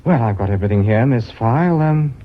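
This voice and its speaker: An actor with a British accent playing a brisk, genial heir who runs a family firm in a radio drama. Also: male voice, mid-range voice, expressive, lightly acted. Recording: dull, faintly noisy old radio recording.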